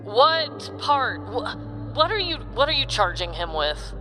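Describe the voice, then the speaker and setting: A woman's voice: high voice, big pitch swings, slow pace, pushed and bright, long reverb tail, voice pushed up, heavily acted. A woman voicing a curt, no-nonsense police sergeant who questions tersely in a comedic true-crime skit. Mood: curt, businesslike.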